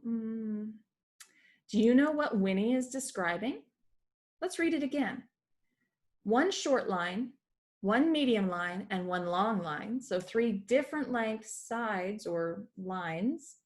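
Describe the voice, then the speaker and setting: A woman with a slightly high voice teaches a read-aloud lesson for children. She explains step by step, speaking to a computer from a room at home. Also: animated, takes it slowly.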